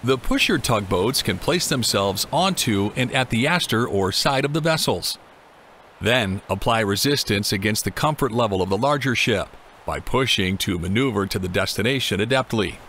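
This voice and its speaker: A man reading a scripted documentary voice-over in a measured, even way, with the occasional odd word slip. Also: lowish voice, a little hiss or hum. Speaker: documentary narrator. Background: music.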